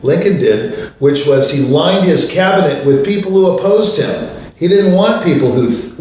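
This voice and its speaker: A man's voice: mid-range voice, darkish tone, slight background noise. Older man telling stories in an echoey room. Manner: anecdotal, conversational, many fillers. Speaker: show host, pianist. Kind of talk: storytelling monologue. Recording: distant mic in a very echoey room.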